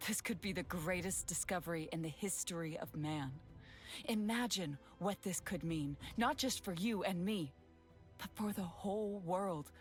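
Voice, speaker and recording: A woman voicing an older male astronomer who is dreamy and driven, speaking with urgent excitement over faint music. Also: fairly low pitch, a little slow, lightly acted. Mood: awed.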